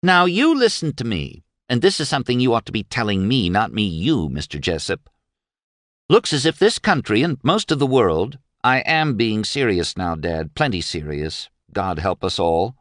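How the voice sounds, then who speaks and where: A man's voice: fairly low pitch, sing-song, normal speed, no room sound, clean studio sound, pitched above the performer's own voice. A man doing a bold, teasing young daughter. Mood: insistent. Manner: teasing, then fervent and insistent.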